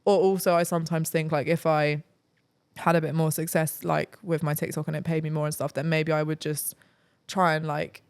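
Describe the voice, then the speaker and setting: A woman's voice: deep voice, level tone, normal speed, darkish tone, clean tone. A young woman from England chatting casually as a podcast guest, rambling with lots of fillers and easy laughter. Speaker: travel vlogger guest.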